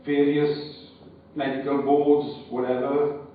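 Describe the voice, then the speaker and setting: Man, audience speaker at a meeting, halting and careful, heard from a distance in a reverberant hall with dull sound. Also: medium pitch, flat delivery. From Wales. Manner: hesitant, repeats words, cautious. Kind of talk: unscripted public speech. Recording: echoey hall, far from mic, dull sound.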